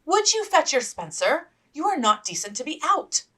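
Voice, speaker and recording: Woman as a sensible, scolding young gentlewoman, raised pitch. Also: very animated, normal speed. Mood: exasperated scolding. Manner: firm, exasperated, proper.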